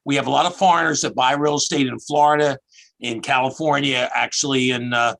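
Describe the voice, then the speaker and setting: A man's voice: medium pitch, fairly even intonation, brisk, vocal fry, fairly bright tone. A man in his sixties with a Philadelphia accent, a guest telling rambling stories about his work over a video call. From Philadelphia area. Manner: rambling, chatty storyteller. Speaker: guest, tax accountant.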